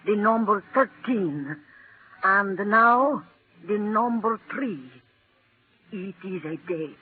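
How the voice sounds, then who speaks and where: An actress playing an old fortune teller who speaks solemnly and haltingly in a 1940s radio drama. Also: female voice, medium pitch, animated, slight background noise. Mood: mysterious, solemn. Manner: slow, halting, solemn pronouncements. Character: old fortune teller woman, grave, mysterious, crystal gazer. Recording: muffled, narrow-band vintage 1940s radio recording.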